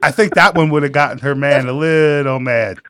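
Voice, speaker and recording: A man's voice: a little high, a loud crowd. A man in his forties hosting a live talk show, brash and teasing, joking crudely with his guests. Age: 40s. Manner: brash, teasing, quick to laugh.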